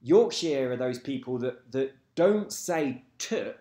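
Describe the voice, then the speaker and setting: A man's voice: mid-range voice, animated, slow, dark tone. A man rambling casually to camera, swearing freely and losing track of names. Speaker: vlogger. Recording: echoey room.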